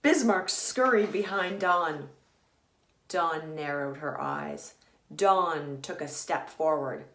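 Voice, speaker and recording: A woman author reading a children's story aloud in a deliberate, teacherly, emphatic way. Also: low voice, a bit bright. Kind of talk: expressive children's storybook narration. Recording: echoey room.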